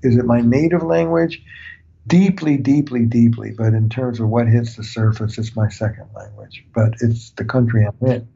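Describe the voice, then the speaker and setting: Older male therapist guest, mellow, husky, earnest explainer, slightly dull audio. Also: medium pitch, steady tone, normal speed. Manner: earnest, explanatory, uses analogies. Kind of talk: reflective interview talk.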